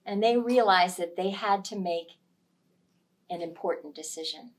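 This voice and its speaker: A woman telling a story aloud to young children, with expressive, dramatic delivery and emphasis on key words. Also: lowish voice, a little slow, far from the mic.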